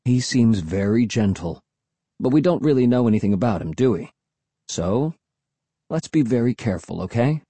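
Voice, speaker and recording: Man playing a soft-spoken, thoughtful dad. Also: mid-range voice, expressive, husky, no echo. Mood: cautious. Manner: measured, gentle.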